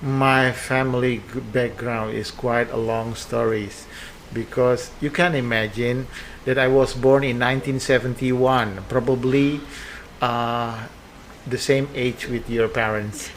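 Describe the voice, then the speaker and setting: An Indonesian man in his fifties answers interview questions in English, slowly and unhurriedly, with frequent pauses and fillers. His tone is friendly and joking. A faint hiss of noise sits under his voice. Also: medium pitch, steady tone. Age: 50s. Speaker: guest, air force base commander.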